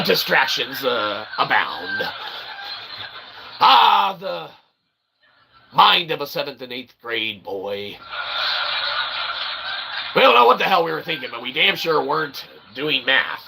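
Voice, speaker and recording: A man hosting his own channel, rambling in a monologue that swings from mutters to sudden loud outbursts and keeps asking "okay?". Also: on the high side, very animated, slow pace, vocal fry, pauses often, rough recording. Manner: peppers talk with "okay?" and "literally". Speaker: self-published author and channel host.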